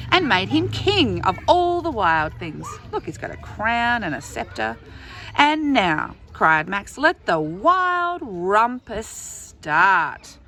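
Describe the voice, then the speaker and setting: Female children's storyteller, bright tone, leisurely delivery, expressive, with exclaiming asides on the pictures. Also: medium pitch. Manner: expressive, exclaiming over pictures, drawn-out key phrases. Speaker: storyteller reading a picture book aloud to young children. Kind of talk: animated read-aloud with asides to young listeners.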